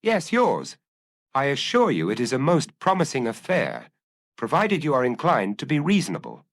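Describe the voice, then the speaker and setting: A man acts out a hard-nosed ex-inspector in a detective audiobook. He speaks dryly and precisely, with animated pitch swings and a firm, commanding edge as he presses his mark. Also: normal speed. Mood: brisk, self-assured. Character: dry, hard, commanding male ex-inspector blackmailer. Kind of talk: audiobook character acting.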